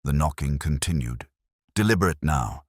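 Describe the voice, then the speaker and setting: A man narrating a scripted mystery story in a measured, dramatic manner. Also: very deep voice, animated, dry recording, studio quality. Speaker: audiobook narrator.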